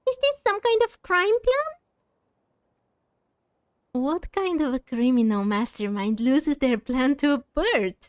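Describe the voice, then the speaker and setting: A woman voicing a small, excitable, pushy fairy companion from a video game, with an eager manner. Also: high-pitched, slow, long pauses, no echo, studio quality, full character voice. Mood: alarmed, urgent.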